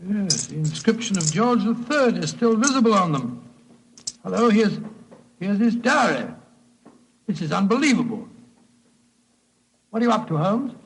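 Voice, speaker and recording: High-voiced, exclamatory British doctor. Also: male voice, very animated, normal speed, pauses often, poor audio. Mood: amazed. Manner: exclamatory, proper, earnest. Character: loyal, easily astonished English gentleman doctor, detective's companion. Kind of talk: old-time radio drama acting. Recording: old radio recording, faint noise.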